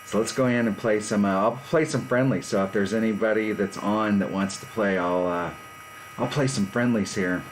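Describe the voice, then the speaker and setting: A man's voice: lowish voice, normal speed, slight background noise, rough recording. A man in his fifties chatting casually and rambling on a live stream from his garage. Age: 50s. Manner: rambling, laid-back, self-deprecating. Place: home garage.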